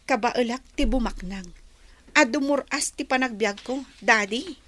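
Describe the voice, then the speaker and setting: A woman's voice: fairly high pitch, a little slow, a bit bright. A woman playing a resentful, ambitious young daughter in a Filipino radio drama, speaking emphatically and defiantly. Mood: determined.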